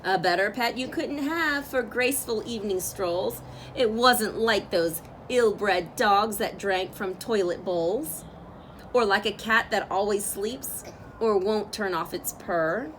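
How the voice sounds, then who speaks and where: A woman reading a picture book aloud as a storyteller, with expressive, drawn-out phrasing for young listeners. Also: mid-range voice, a little hiss or hum, poor audio. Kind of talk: expressive children's read-aloud narration.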